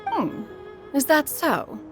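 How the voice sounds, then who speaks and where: A woman's voice: sits high, huge pitch swings, slow, music in the background, full character voice. A woman voicing a dignified, haughty immortal bird spirit in human form for a video game, speaking in a formal, lofty manner. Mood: composed, formal. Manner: formal, lofty, refers to self as one.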